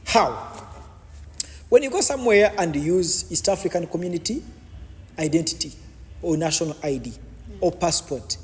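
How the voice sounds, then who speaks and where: A man's voice: fairly high pitch, expressive, moderate pace, long pauses, big-room sound. A man, a podcast guest explaining his views at length, stumbling and repeating words as he goes. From Uganda. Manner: repetitive, many false starts and restarts. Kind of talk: explanatory interview answers.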